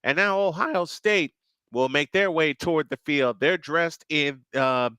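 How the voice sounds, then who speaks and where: A man hosts a live football stream, talking straight to his viewers in an earnest, chatty way as the teams come out. His voice is bright and crisp and sits a little high for a man. The sound is close and dry. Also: unhurried, no echo. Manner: chatty, earnest, sometimes restarts phrases. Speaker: sports stream host. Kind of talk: live play-by-play style commentary.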